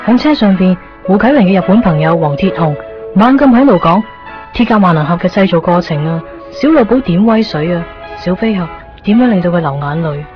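A woman speaks in a soft, wistful inner monologue, her words laid over a clear music bed. The broadcast sound is slightly dull. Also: on the low side, expressive, fairly quick. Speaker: narrator and lead character. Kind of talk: 1990s radio drama narration.

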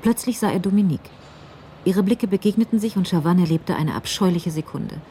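A woman's voice: fairly low pitch, fairly quick, dark tone, slight background noise. A woman narrating a radio crime drama from a script in the role of storyteller.

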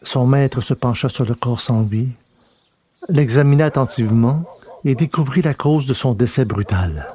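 A man narrating a scripted dramatic story in a measured, literary delivery. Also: medium pitch, dark tone. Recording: noticeable background noise.

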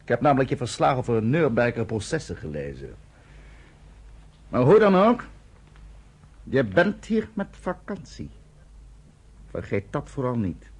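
A man's voice: mid-range voice, sing-song, unhurried, long pauses, husky, exaggerated voice. An actor playing an arrogant British male officer in a radio drama, speaking in a smug, condescending, mocking way.

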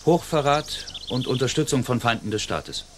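An actor playing a dutiful army sergeant in a German radio drama, speaking formally and curtly. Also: male voice, mid-range voice, expressive, normal speed, slight background noise, natural voice. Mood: curt, official. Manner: formal, curt.

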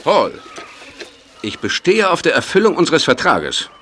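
Male actor playing pushy film producer, very animated pitch, accusing, insistent, audio drama, slight background noise. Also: measured pace, heavily acted. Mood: indignant. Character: demanding, indignant film producer, pushy businessman. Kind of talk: German audio drama acting.

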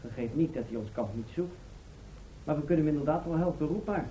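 A woman playing a brisk, methodical, matter-of-fact searcher at a camp in a radio play. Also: sits low, lively intonation, mellow. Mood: expectant. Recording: noisy, band-limited old radio play recording.

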